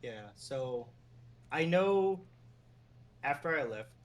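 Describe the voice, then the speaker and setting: A man from New York City, a former team general manager and agent, talking as a guest in a relaxed, meandering way with the odd chuckle. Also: fairly high pitch, takes it slowly. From New York City, USA. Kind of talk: casual interview conversation.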